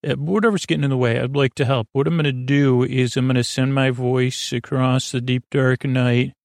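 Creaky-voiced man rambling through a bedtime podcast. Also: mid-range voice, fairly even intonation, moderate pace, no echo, studio quality. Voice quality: creaky, gravelly. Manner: meandering, hesitant, self-interrupting, gently silly; soothing, lulling. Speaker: podcast host.

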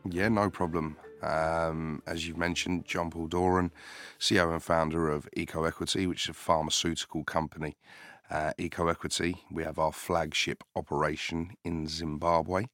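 A man who grew up in the UK, a company founder answering questions in a business podcast interview, measured and hesitant with many filler sounds. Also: very deep voice, little pitch movement, a bit bright, dry recording. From United Kingdom.

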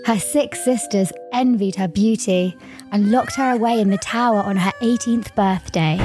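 Female fairy-tale narrator, fairly high voice, scripted reading over music. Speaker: storyteller.

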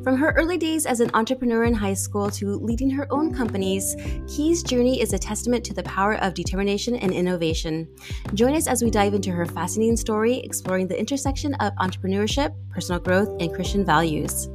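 A woman in her forties hosting a podcast, chatting casually, bubbly and quick to laugh. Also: mid-range voice, music in the background. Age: 40s. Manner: bubbly, laughs often, lively exclamations. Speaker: podcast host. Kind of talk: casual interview chat.

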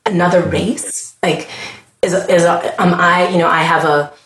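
A woman with a Canadian accent talks in a lowish voice with earnest conviction. She often stops and restarts her sentences as she works out what she means. The room around her sounds echoey. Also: steady tone, measured pace, a bit bright, a little hiss or hum. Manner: earnest, impassioned, restarts often mid-thought. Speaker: podcast guest. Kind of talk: casual conversation.

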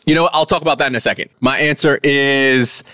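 A man in his late thirties speaks as a podcast host with a medium-pitched voice. He talks casually and loosely, circling back and correcting himself, and the recording is dry with no room sound. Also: fast, bright, forceful tone, no room sound. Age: late 30s. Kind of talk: casual conversational talk.

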